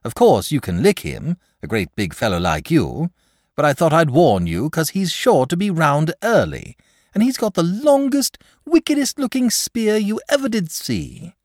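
A man voicing an excitable young village boy. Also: fairly high pitch, moderate pace, no echo, studio quality, voice pushed up. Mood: excited. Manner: breathless, pleading.

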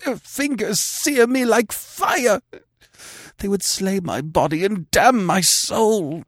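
Narrator playing a bewitched dying king, high and strained, desperate pleas and imperious commands, dry studio sound. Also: lively intonation, slow, dry recording, full character voice. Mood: anguished pleading. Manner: anguished pleading turning to imperious orders. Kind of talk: audiobook character voice.

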